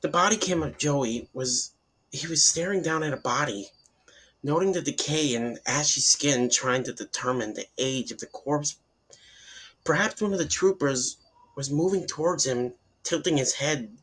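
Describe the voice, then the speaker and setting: A man narrates a horror tale in a husky voice of middling pitch. His dramatic reading is a little halting, as he tells the story in the first person. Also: fairly bright tone, rough recording.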